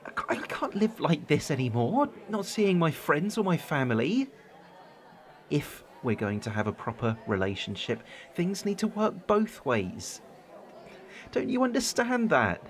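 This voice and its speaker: Anxious young boyfriend, higher-pitched, expressive. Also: male voice, unhurried. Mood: pleading, weary. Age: young adult. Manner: hesitant, stammering, pleading. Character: anxious, worn-down young boyfriend standing up for himself. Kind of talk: naturalistic radio drama acting.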